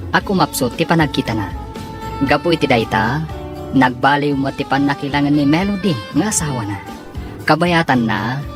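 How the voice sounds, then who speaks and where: Low-voiced Filipino woman narrating radio drama. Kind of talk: scripted radio serial narration. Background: music.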